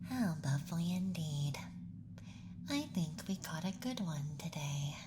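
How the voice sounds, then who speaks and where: A man voicing a playful young alien abductor in a whispered ASMR roleplay, speaking softly in a teasing, clinical manner. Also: a little high, lively intonation, measured pace, background noise. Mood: pleased, clinical.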